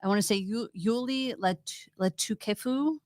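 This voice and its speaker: A woman's voice: fairly high pitch, moderate pace. A woman co-hosting a TV review show, chatting casually and unscripted in an opinionated, emphatic way. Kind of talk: casual unscripted chat, presenting a ranked list.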